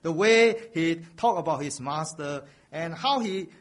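A man delivers a sermon in a fairly high voice. He is earnest and keeps coming back to a refrain, often pushing his voice up for emphasis. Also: animated, unhurried. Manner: earnest, repeats a refrain, builds to raised emphasis. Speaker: preacher.

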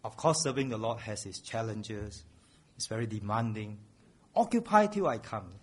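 A man's voice: lowish voice, animated, normal speed, husky. A man preaching a sermon, earnest, repeating a refrain and building to raised emphasis.

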